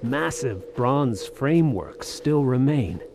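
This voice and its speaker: A greedy soldier of fortune in an audio drama. His mid-pitched delivery is theatrical and halting, full of grandeur. Background ambience runs under the voice. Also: expressive, vocal fry, background noise. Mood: awed. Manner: theatrical, awestruck, halting phrasing. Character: bold, greedy Western soldier of fortune exploring ruins.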